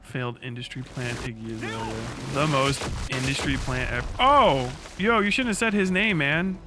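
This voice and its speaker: A man's voice: mid-range voice, normal speed, background noise. A man giving casual, crude and sardonic commentary while livestreaming a video game. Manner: crude, heavy swearing, sardonic asides. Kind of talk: casual gaming stream commentary. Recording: game music behind the voice. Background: video game music.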